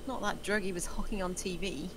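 A woman's voice: medium pitch, fairly even intonation, a little fast, background noise, close to an everyday voice. A woman playing a skeptical, exasperated character in a screenplay table read. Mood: indignant. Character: skeptical, exasperated woman pulled into a crime scheme.

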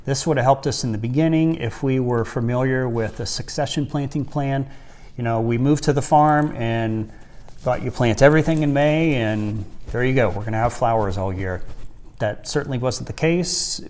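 A man in his forties, a farmer and co-host, giving measured, plain-spoken advice in a casual chat. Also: medium pitch, darkish tone. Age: 40s. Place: small wood-panelled room indoors by a stove. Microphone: lapel mic.

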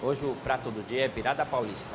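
A man's voice: medium pitch, fast talker, noisy background. A man in his fifties with a northeastern Brazilian accent, plainly answering questions as a snack bar owner. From Ceará, northeastern Brazil. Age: 50s. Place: small snack bar, indoors.

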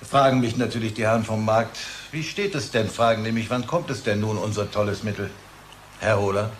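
An actor playing a brisk, market-minded pharmaceutical boss, pompous and impatient, in a German radio drama. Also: male voice, fairly low pitch, fairly even intonation, a little hiss or hum, lightly acted. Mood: brisk, self-important. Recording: clear background room ambience.

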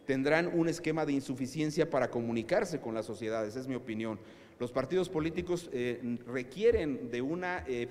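In an echoey hall, heard from a little way off, a middle-aged man with a Mexican accent gives a formal talk. He explains things deliberately and at length. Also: mid-range voice, darkish tone. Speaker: conference speaker. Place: hotel conference room, indoors. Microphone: podium microphone. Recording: echoey hall, distant, faint noise.

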